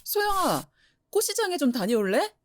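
A woman's voice: high voice, big pitch swings, moderate pace. A woman playing a playful, welcoming mother who runs a flower shop in an audio drama, affectionate and coaxing. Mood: playful teasing. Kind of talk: Korean audio drama acting.

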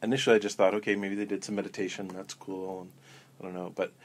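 A man guest in an interview, talking in a relaxed, rambling way with many ums and restarts. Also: deep voice, quick pace. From Florida. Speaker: interview guest, coven elder. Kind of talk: casual storytelling interview.

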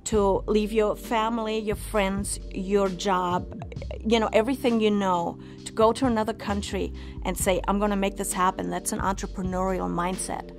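A woman's voice: mid-range voice, steady tone, moderate pace, music in the background. A woman from Germany giving confident, emphatic interview answers in a studio.

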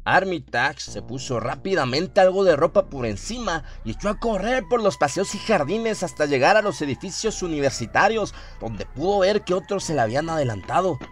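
A man's voice: fairly high pitch, animated, moderate pace, few pauses, faint music behind. A man reading scripted horror fiction as the dramatic narrator of a radio play.

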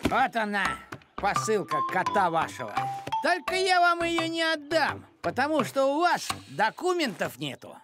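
Fussy, high-pitched cartoon postman. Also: big pitch swings, measured pace, music in the background. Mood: officious. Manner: officious, pedantic. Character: fussy, officious male village postman. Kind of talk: animated children's cartoon acting.

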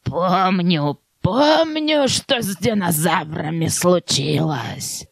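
A woman's voice: on the low side, expressive, slow, vocal fry, no room sound, clean studio sound, exaggerated voice. A woman voicing an ancient fish in a cartoon, reminiscing in a knowing drawl.

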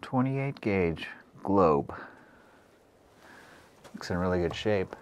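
A man's voice: low-pitched, takes it slowly. A man casually describing an old airport site as he walks around it outdoors. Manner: relaxed, pointing things out as he walks. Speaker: travel vlogger. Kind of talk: casual exploring commentary.

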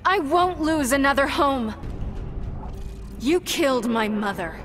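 Young superheroine, high voice, confident, quippy, defiant, video game, music behind. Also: female voice, animated, slow pace, fairly bright tone, heavily acted. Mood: earnest, resolute. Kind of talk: video game character acting. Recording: music under the voice.

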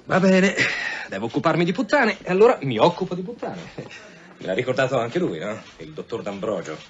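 An actor playing a sardonic, insistent police commissioner in an Italian radio drama, with sarcastic repetitions and veiled threats. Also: male voice, medium pitch, sing-song, a little fast, close to an everyday voice. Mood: indignant. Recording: dull sound with faint background noise.

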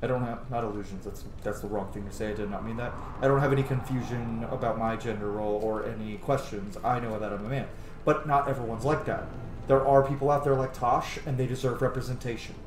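A man's voice: medium pitch, steady tone, quick pace, noisy background. A man in his thirties giving casual gameplay commentary, speaking emphatically and swearing freely. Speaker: game streamer. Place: small indoor room at home. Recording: roomy sound with music behind. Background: game music.

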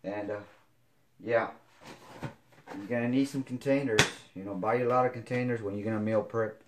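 A man in his forties with a fairly low voice talks through his kitchen gear in a loose, halting way, full of fillers and restarts. He is recorded by a camera on the counter of his home kitchen. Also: steady tone, noisy background. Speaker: fitness vlogger. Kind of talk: casual product demo.

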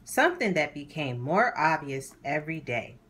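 A woman hosting a children's read-aloud, narrating a storybook in a deliberate, animated way for young listeners. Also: deep voice, slight background noise.

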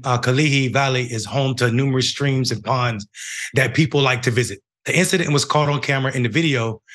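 A middle-aged man with an American accent hosting a news show, reading and commenting in a halting way with repeated phrases. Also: mid-range voice, fairly bright tone. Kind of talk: news commentary, reading a report and giving opinion. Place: home room, indoors.

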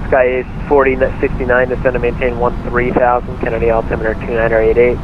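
A man is directing aircraft as a controller over a narrow, muffled radio channel. His phrasing is clipped and procedural, delivered quickly, with a steady drone of noise behind it. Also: mid-range voice. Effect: radio transmission. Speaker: air traffic controller. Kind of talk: radio air traffic instructions.

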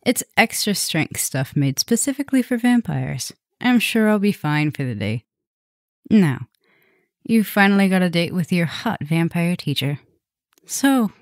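A woman's voice: deep voice, a little mellow, dry recording, clean studio sound. A woman playing a flirty, teasing vampire professor in an affectionate, chatty ASMR roleplay spoken to the listener. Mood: reassuring.

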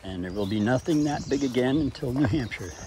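A man's voice: medium pitch, expressive, brisk, warm tone, a little hiss or hum. Man talking while hiking a foggy trail. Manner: plainspoken, dry humor, thinking aloud. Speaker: thru-hiker vlogger. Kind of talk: casual vlog narration while hiking. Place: foggy forest trail outdoors. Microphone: body-mounted camera.

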